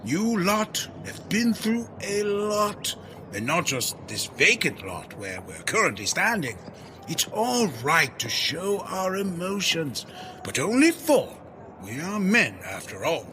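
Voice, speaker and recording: A man's voice: high-pitched, huge pitch swings, slow, pushed and bright, a little hiss or hum, exaggerated voice. An actor playing a pompous, grandiloquent male superhero who declaims every line. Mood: grandiose.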